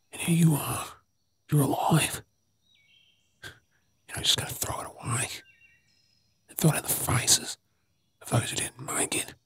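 An Australian man playing a gruff, wary zombie apocalypse survivor in a whispered ASMR role play, terse, urgent and husky. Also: a little high, a bit bright, slight background noise, exaggerated voice. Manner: terse, urgent, slow clipped phrases.

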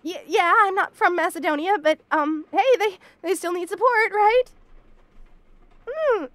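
Very high-pitched flustered bunny girl. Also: unhurried, a bit bright, no echo. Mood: nervous, evasive. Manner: stammering, nervous, flustered denial. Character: cute flustered bunny girl roommate, bashful and evasive. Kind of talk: roleplay audio acting, addressed to the listener.

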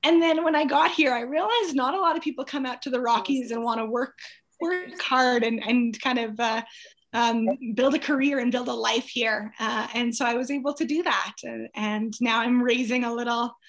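Canadian woman in her 30s on a zoom call, high voice, chatty and giggly. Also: normal speed, fairly bright tone. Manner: bubbly, laughs often, chatty with fillers. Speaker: guest presenter. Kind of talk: casual video call chat. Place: indoor room at home. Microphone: video call on laptop.

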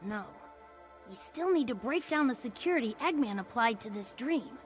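A woman's voice: on the high side, animated, normal speed, lightly acted. A woman voicing an earnest, clever young boy fox inventor in a video game, explaining things. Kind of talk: animated video game cutscene acting. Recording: music under the voice.

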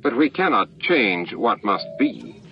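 A man voicing a smooth, authoritative alien leader in an audiobook, formal and commanding. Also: mid-range voice, sing-song, slow pace, soft background music, heavily acted. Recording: dull, noisy lo-fi book-on-tape recording.

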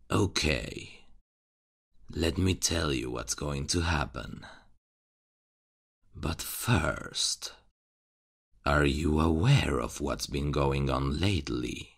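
A man playing a menacing, mocking male serial killer in an ASMR horror roleplay, taunting and chuckling in a hushed voice. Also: a bass voice, vocal fry, slightly rough, full character voice.

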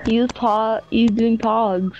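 A woman's voice: fairly high pitch, fairly even intonation, slow, a little mellow, lo-fi sound. A woman chatting and teasing casually with friends on a group video call. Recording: very noisy video call audio.

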